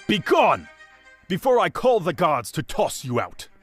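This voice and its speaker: A man playing a pompous, imperious archbishop in a period audio drama, haughty and contemptuous in his deliberate delivery. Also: high-pitched, huge pitch swings, a bit bright, faint music behind, heavily acted. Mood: furious.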